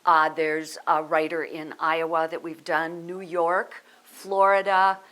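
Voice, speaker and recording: Female interviewee, arts director, slightly low register, informal conversational delivery. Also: level tone, takes it slowly, a bit bright. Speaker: theatre group artistic director.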